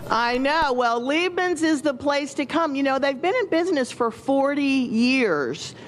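A woman reporter chats live from inside a deli, asking eager questions into a handheld mic. Her voice sits high. Faint store ambience hums underneath. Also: lively intonation, unhurried, slight background noise. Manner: upbeat, prompting questions. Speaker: food contributor and reporter. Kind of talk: live field segment interview. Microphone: handheld station mic.

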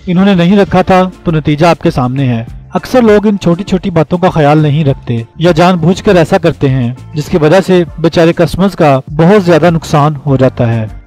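A man's voice: on the high side, normal speed, hardly any pauses, darkish tone. A man giving a scripted instructional voice-over as a tutorial host, explaining plainly step by step. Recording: music behind the voice. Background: background music.

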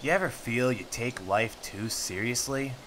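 A man's voice: mid-range voice, unhurried, fairly bright tone, a little hiss or hum, lightly acted. A man voicing a laid-back, goofy young reptile camper in a comic dub, playful and carefree. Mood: easygoing. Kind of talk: comic dub character acting.

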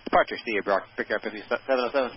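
A man's voice: mid-range voice, rapid-fire. A man piloting a plane, giving clipped readbacks over cockpit radio. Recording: muffled noisy aviation radio.

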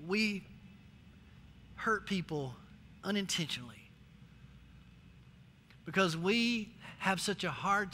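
A man's voice: sits high, pushed and bright, a little hiss or hum. A middle-aged American man preaching a sermon as a pastor, emphatic and exhorting, repeating phrases to drive them home. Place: large church stage, indoors, amplified. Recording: echoey hall reverb, faint music.

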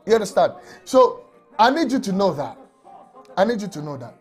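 A man preaching an emotional sermon from a small office on a lapel mic, often shouting and crying out. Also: high voice, sing-song, moderate pace, breathy edge, soft background music. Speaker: pastor.

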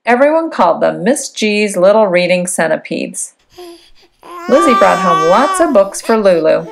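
A woman giving an expressive read-aloud narration of a children's storybook. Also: medium pitch, normal speed, creaky. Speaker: storybook reader. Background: background music.

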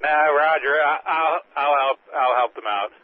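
A man's voice: a little high, normal speed. A male railroad signal maintainer answering casually over work radio. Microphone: two-way radio. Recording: muffled radio, very loud static.

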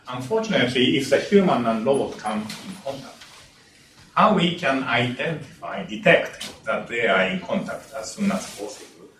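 An older man giving an engineering lecture in English with non-native phrasing, speaking deliberately and stressing key words. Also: medium pitch. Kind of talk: academic lecture. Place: lecture room. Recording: roomy, distant lecture hall capture with faint room noise.

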